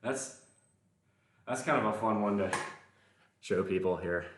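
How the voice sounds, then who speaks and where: A man's voice: fairly low pitch, unhurried, far from the mic, background noise. A man with a mild Southern accent, chatting casually and self-deprecatingly with his livestream viewers between sets in a gym. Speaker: livestreaming lifter. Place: indoor gym. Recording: very echoey room, voice off-mic.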